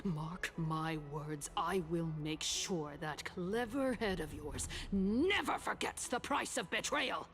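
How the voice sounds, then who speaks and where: A woman's voice: on the low side, expressive, takes it slowly, hardly any pauses. A woman playing a cold, vengeful, haughty noblewoman in a video game, speaking in a stern, threatening way. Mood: menacing. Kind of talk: dramatic video game voice acting. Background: music.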